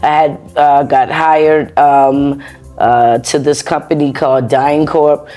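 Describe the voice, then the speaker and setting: Animated American woman telling her story. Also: low-pitched, slow pace, faint music behind. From United States. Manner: animated, repeats words, laughs often. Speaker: interview guest, former soldier. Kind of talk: casual conversational storytelling.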